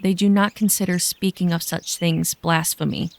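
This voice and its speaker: Village girl for sci-fi audio drama, played by a woman: young guide, guarded, playful streak, slightly low pitch. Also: fairly even intonation, moderate pace, gravelly, no echo. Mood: guarded, quiet.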